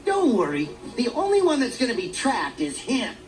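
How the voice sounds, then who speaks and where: A brash little game dragon, bouncy voice, music under it. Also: male voice, very high-pitched, huge pitch swings, recorded from a distance, full character voice. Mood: cocky. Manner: brash, quick wisecracks. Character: cocky young male dragon hero. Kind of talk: video game cutscene acting. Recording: music behind, from off mic.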